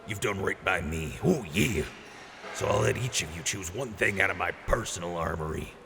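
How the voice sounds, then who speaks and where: A man's voice: expressive, moderate pace, creaky. A man playing a boisterous, generous wasteland quartermaster in an audio drama, with a hyped showman's delivery. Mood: boisterous, friendly. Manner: hyped showman with booming catchphrase outbursts. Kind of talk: post-apocalyptic audio drama acting. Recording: noisy, reverberant mix.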